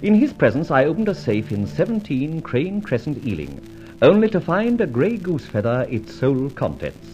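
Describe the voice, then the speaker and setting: An actor playing a jaunty gentleman adventurer who narrates his exploits in a breezy, dramatic radio drama style. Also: on the high side, wildly swooping pitch, moderate pace. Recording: dull vintage radio recording, music underneath. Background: music.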